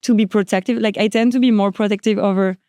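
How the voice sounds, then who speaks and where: Smooth-voiced, hesitant woman guest. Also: medium pitch, fairly even intonation, quick pace, warm tone, no echo, clean studio sound. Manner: hesitant, full of "like" and restarts. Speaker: podcast guest, designer. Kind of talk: casual chat.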